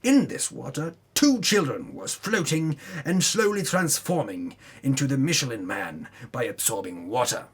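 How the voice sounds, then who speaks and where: A man's voice: medium pitch, sing-song, moderate pace, few pauses, breathy edge. A man narrating a tabletop campaign recap as a dramatic storyteller, with theatrical delivery and casual asides.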